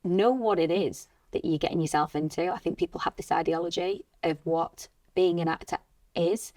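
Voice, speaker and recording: A woman actress and coach speaking earnestly and reflectively in a casual podcast chat, in a measured way. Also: lowish voice, a little mellow, no echo.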